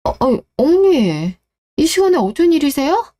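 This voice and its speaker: A woman's voice: high-pitched, very animated, unhurried, mellow, voice pushed up. A woman playing a caring but indignant young wife in a scripted radio drama, polite yet firm. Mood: startled.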